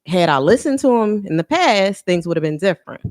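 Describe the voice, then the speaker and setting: A woman's voice: medium pitch, big pitch swings, a little fast, a little mellow. A woman in her thirties, an interview guest talking candidly and reflectively about her life, often restarting her sentences. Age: 30s. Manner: earnest and reflective, with frequent restarts and repeats. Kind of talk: candid personal conversation.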